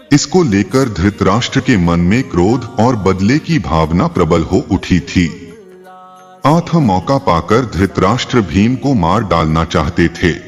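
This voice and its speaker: A man narrating scripted mythological tales in a formal, dramatic storytelling style. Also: low voice. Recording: long reverb, faint music behind. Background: faint background music.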